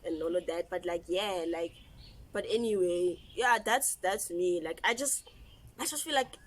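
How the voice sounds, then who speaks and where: A woman's voice: lowish voice, moderate pace. Joking young woman. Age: young adult. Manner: candid, joking, trailing off with "like". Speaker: host. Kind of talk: casual chat among friends.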